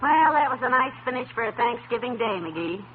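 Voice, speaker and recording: An actress playing a patient, genial wife, polite and gently teasing, in old radio comedy style. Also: female voice, fairly high pitch, expressive, a little fast, over music, lightly acted. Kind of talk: 1940s-1950s radio comedy acting. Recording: tinny, very noisy vintage radio recording.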